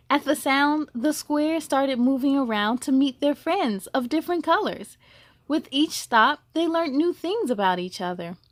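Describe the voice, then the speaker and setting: A woman reading a children's story aloud as host, expressive and exclamatory, speaking to young listeners. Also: sits high, no echo. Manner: slow, deliberate, exclamatory delivery for children.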